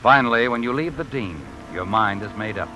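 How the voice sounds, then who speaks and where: A man's voice: mid-range voice, a little fast, sharp tone, natural voice, low-quality recording. An actor playing an ominous, all-knowing narrator in a 1950s radio mystery, taunting as he addresses the listener directly. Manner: insinuating, taunting second-person address. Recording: old radio broadcast with music.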